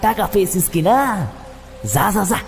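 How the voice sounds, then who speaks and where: Guy doing scornful village man, rural bengali dialect, high taunting voice, audio drama, lots of reverb. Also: moderate pace, gravelly. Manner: mocking, repetitive jeering. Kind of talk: amateur audio drama acting. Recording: long reverb, music behind.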